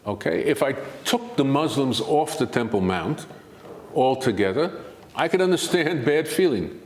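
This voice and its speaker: An older man as a guest expert in an interview, answering in a measured, argumentative way that builds to emphatic points. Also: medium pitch, animated, a little mellow, echoey room, slight background noise.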